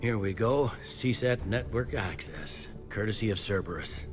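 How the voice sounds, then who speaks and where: An actor playing a gruff, weary, sardonic police commander in a video game, blunt and wry. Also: male voice, fairly low pitch, animated, normal speed, music in the background, lightly acted. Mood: gruff, urgent.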